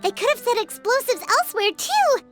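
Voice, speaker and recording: A woman voicing a tiny, eager, childlike fairy companion in a video game, with a squeaky, exclaiming delivery. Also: big pitch swings, fairly quick, a bit bright, faint music behind, heavily acted. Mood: relieved, excited. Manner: speaks of herself in the third person, exclaiming. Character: tiny high-pitched floating fairy girl companion, eager, excitable. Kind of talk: animated game voice acting.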